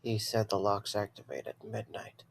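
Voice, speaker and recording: A man's voice: fairly low pitch, flat delivery, moderate pace, vocal fry. A man acting in an audio drama as a weary, wry young man with a casual, sardonic, rambling way of talking. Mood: musing.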